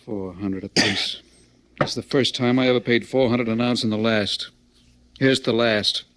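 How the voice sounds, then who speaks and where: An actor playing a wary, complaining middle-aged drug buyer in slangy 1940s radio crime style. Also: male voice, medium pitch, steady tone, a little slow, a bit bright, close to an everyday voice. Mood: grudging. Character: grumbling, nervy middle-aged male American drug buyer, Sonny.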